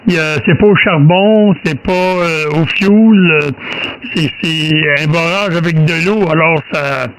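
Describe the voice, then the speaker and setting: A man with a Quebec French accent chatting casually on amateur radio in a halting, restarting way. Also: on the high side, poor audio. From Quebec, Canada. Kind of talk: casual ham radio chat. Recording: amateur radio signal with faint noise.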